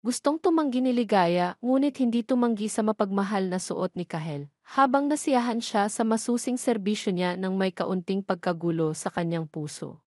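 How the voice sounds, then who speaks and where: A woman's voice: mid-range voice, normal speed, a little mellow, no echo. Woman narrating a scripted story, dry sound. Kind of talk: scripted audio drama narration.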